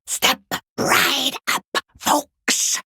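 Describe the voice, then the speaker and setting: A man playing a sneering, furtive peeping lurker in a video game, with a hoarse, breathy, half-whispered rasp and a sarcastic, taunting drawl. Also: very slow, bright, forceful tone, dry recording, studio quality, heavily acted. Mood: sarcastic, theatrical. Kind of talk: video game character acting.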